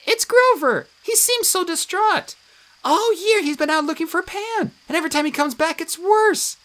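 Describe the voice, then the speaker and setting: A woman voicing a high-strung young female tree nymph with a squeaky, whiny voice. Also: sing-song, a little slow, bright, forceful tone, heavily acted. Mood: tearful.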